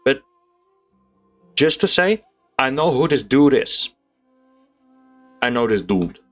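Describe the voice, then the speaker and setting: A man giving casual, excitable gameplay commentary, chatting to his viewers as a gaming YouTuber. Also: medium pitch, slow. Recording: game music behind voice. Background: video game music.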